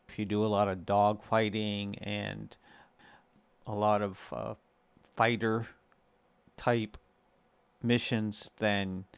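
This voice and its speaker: A man hosting a gaming guide, narrating a casual tutorial in a measured, explanatory way with short pauses between phrases. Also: fairly low pitch, vocal fry, clean tone, no room sound. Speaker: host of a gaming guide video series.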